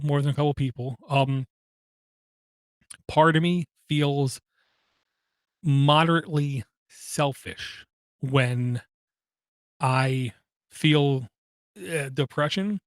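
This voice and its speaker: A man hosting a podcast, talking through personal matters in a halting way, with many pauses and fillers and an occasional stutter. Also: a little high, dry recording, studio quality. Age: adult, has kids. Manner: halting, frequent ums and uhs, slight stutter. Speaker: podcast host. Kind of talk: personal monologue.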